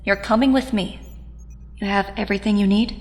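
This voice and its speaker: A woman's voice: mid-range voice, fairly even intonation, a little fast, a little mellow, lightly acted. An actress playing a grieving, headstrong teenage girl superhero in an audio drama, earnest and insistent. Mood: firm, caring. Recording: reverberant hall sound.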